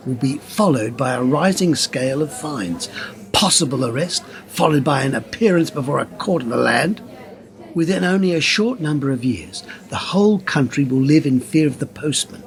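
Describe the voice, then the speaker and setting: An actor in a radio play playing an impassioned whistleblower, speaking in an emphatic, conspiratorial way. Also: male voice, on the high side. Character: impassioned male whistleblower pressing a wary journalist.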